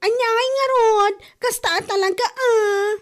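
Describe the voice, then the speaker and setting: A woman's voice: very high-pitched, fairly even intonation, unhurried. A woman playing a nagging, exasperated village wife with money worries in an Ilocano comedy drama, complaining and exclaiming with an Ilocano accent. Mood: exasperated, pleading.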